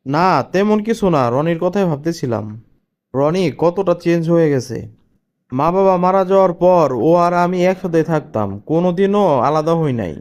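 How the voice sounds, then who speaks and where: A man's voice: a little high, expressive, normal speed, a little mellow, dull audio, lightly acted. A man voicing a sentimental elder brother in a cartoon family drama, earnest and consoling, with a Bangladeshi Bengali accent. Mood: melancholy.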